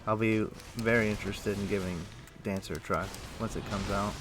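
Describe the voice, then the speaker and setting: A man livestreaming a game, chatting casually and thinking aloud in a rambling, halting way. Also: fairly low pitch, little pitch movement. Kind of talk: casual chat while playing an online game. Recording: music playing behind. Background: music.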